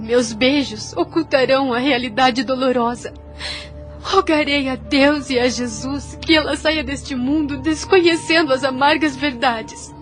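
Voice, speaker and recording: A Brazilian actress playing a gentle, devout, self-sacrificing young daughter in an audio drama, speaking in earnest, formal phrases. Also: female voice, sits high. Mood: tearful devotion. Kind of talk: Brazilian radio drama acting.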